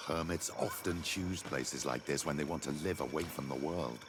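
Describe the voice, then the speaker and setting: An actor playing a devout, awed older clergyman in a video game, speaking with measured reverence. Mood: reverent wonder. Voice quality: very deep, gravelly. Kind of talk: dramatic video game acting. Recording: reverberant cavern, clear noise behind.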